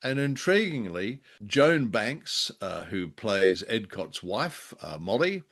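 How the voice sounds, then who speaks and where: A man co-hosting a podcast, reviewing radio drama in a halting, measured way with dry understatement. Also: lowish voice, big pitch swings, fairly bright tone. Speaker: podcast co-host.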